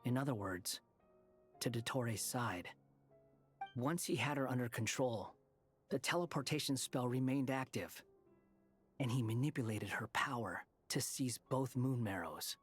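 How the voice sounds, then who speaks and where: Aloof, measured young wanderer. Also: male voice, medium pitch, slightly rough, soft background music. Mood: grave explaining. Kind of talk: video game voice acting.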